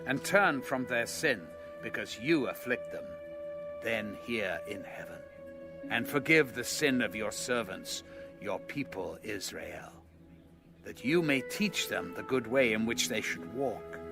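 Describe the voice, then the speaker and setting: A man narrating scripture from a script in a measured, solemn manner. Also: fairly low pitch, creaky. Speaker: audio Bible narrator. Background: music.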